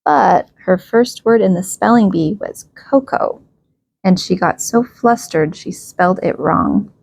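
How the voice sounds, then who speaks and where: A woman reading a children's bedtime story aloud, expressively and with emphatic, drawn-out phrases. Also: medium pitch, creaky, a little mellow, slightly rough.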